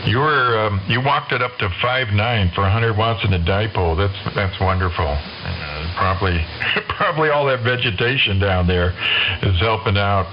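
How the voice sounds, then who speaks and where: A man in a relaxed, chatty exchange as an amateur radio operator, heard over a shortwave link. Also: on the low side, normal speed. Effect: shortwave radio transmission. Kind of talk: casual rag-chew radio chat. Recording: muffled, hissy amateur radio signal.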